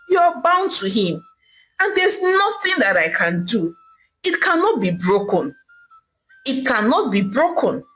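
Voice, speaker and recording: A woman's voice: high-pitched, a bit bright, exaggerated voice, poor audio. A woman storyteller playing a male native doctor who admonishes firmly and with emphatic repetition. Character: male native doctor, firm, unyielding, reproachful.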